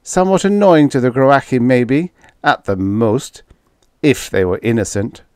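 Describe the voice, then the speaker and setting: A man's voice: medium pitch, expressive, unhurried, a little mellow, no room sound, clean studio sound, pitched above the performer's own voice, natural voice. A man playing a tough, sarcastic diplomat in an audiobook, pressing and snapping with blunt accusations. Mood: sardonic. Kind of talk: audiobook character dialogue.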